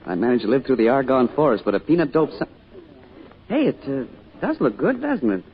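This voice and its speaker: An actor playing an earnest, boyish young American soldier in an old-time radio drama, hesitant and given to stammering. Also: male voice, mid-range voice, sing-song, moderate pace, close to an everyday voice. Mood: bashful. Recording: tinny vintage radio recording with music.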